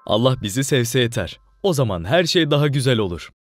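A man's voice: mid-range voice, very animated, brisk. A man narrating a scripted moral lesson for children.

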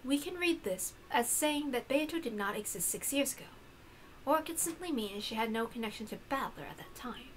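A woman voicing an aloof, analytical female witch in a visual novel, in a measured, formal and deliberate way. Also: a little high, lively intonation, a little hiss or hum, lightly acted, poor audio. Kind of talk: visual novel character reading.

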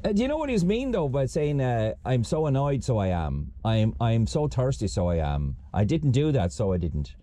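A man's voice: mid-range voice, sing-song, moderate pace, hardly any pauses, dark tone, lightly acted. A man voicing a bad-tempered, mouthy young Dubliner who is curt and complains with plenty of swearing. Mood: exasperated.

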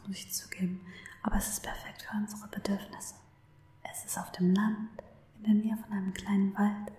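A woman playing an obsessive, lovestruck stalker in an ASMR roleplay, cooing tenderly to her captive in an unhurried, breathy whisper, close to the microphone. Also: medium pitch, dark tone, roomy sound, close to an everyday voice. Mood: excited, adoring.

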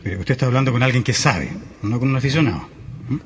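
An actor with a Chilean accent playing a serious, self-assured, condescending plumber in a radio drama. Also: male voice, medium pitch, lively intonation, fast talker, slight background noise, close to an everyday voice. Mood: brusque, self-assured. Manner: condescending, tags sentences with "eh". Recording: music behind the voice.

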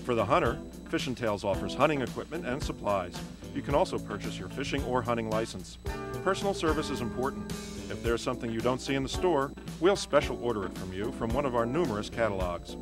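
A man reading a scripted local store advertisement in a steady sales-pitch manner. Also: fast. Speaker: commercial announcer. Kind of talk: local radio-style ad voice-over. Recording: voice-over over loud music.

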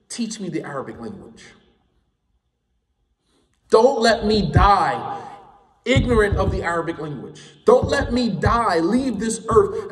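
A high-pitched man gives a fervent class lecture, hammering points home by saying them twice. His voice carries through a roomy hall with a long ring of reverb, picked up from some distance off the mic. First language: English. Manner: emphatic, impassioned, repeats points for stress. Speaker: Islamic studies teacher.

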